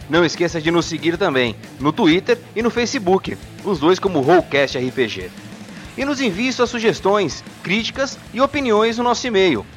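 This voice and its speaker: A Brazilian man delivers an upbeat podcast promo with lively intonation. His voice is fairly high, and light music plays behind him.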